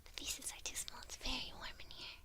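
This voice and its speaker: A woman playing a teasing witch who mentors an apprentice in a whispered ASMR roleplay, halting and gently patronizing. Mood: hushed, casual. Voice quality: breathy whisper.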